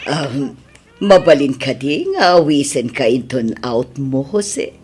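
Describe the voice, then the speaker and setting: A woman's voice: extremely low pitch, expressive, slow, darkish tone, slight background noise, exaggerated voice. A woman with an Ilocano accent acting a forward, flirtatious admirer in an audio drama. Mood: flirtatious, coy.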